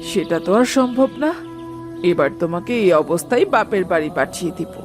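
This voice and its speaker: A woman's voice: lowish voice, expressive, moderate pace, music in the background, close to an everyday voice. A woman voicing a stern, nagging older mother-in-law in a Bengali cartoon, scolding and calling out.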